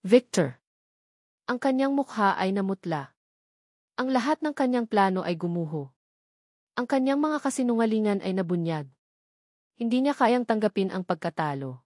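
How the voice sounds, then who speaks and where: A woman's voice: medium pitch, lively intonation, normal speed, long pauses, darkish tone, no echo, studio quality. A woman with a Filipino accent reading a scripted dramatic story as its narrator.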